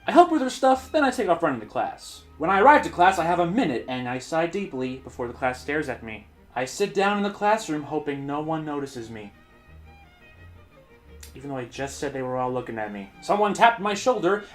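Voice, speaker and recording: A man hosting his channel, reading bad fanfiction aloud in an over-the-top, mocking dramatic style with sudden shouts. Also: a little high. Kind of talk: mock-dramatic comedic reading.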